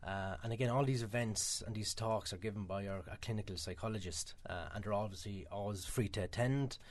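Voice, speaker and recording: Chatty Irish man, low voice. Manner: quick, chatty, many "um" fillers. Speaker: guest from a mental health charity. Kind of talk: radio interview answers.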